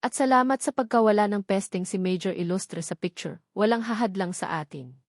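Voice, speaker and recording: A woman's voice: mid-range voice, brisk, no echo, studio quality, lightly acted. A woman narrator playing an arrogant, threatening male mayor in a dramatic story. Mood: smug. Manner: smooth, threatening, boastful.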